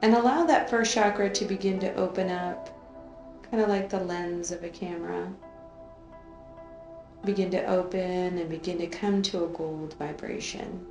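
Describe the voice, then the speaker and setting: A woman leading an unscripted guided meditation in a soothing, drawn-out way, gently repeating phrases. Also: lowish voice, fairly even intonation. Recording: roomy echo, faint music underneath.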